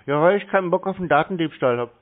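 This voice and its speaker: A man's voice: fairly high pitch, fast talker. A man on a call, answering in a dry, evasive and blunt way as a prank caller. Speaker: prank caller stringing along phone scammers. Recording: tinny phone line.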